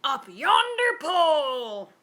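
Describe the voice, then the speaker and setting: A woman voicing a rowdy crew of male pirates, shouting exaggerated cries for a storybook. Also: sits high, sing-song, slow pace, voice pushed up, exaggerated voice.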